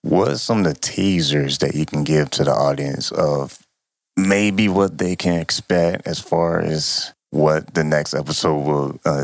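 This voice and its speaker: A man's voice: extremely low pitch, no echo. A man hosting a conversational interview. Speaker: interviewer, host.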